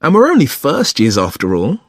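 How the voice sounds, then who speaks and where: A man's voice: medium pitch, huge pitch swings, a little fast, breathy edge, no echo, clean studio sound. An actor playing an agreeable, realistic young male student in a scripted, conversational listening-test dialogue. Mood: chatty, agreeable. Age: young adult. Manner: conversational, questioning.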